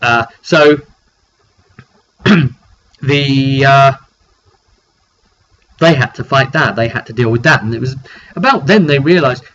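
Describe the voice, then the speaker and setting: A man's voice: medium pitch, slow, long pauses, a bit bright. Dull, lo-fi male game master storytelling. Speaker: game master recounting a session. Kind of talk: casual storytelling of a tabletop roleplay session. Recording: dull lo-fi home recording, faint background noise.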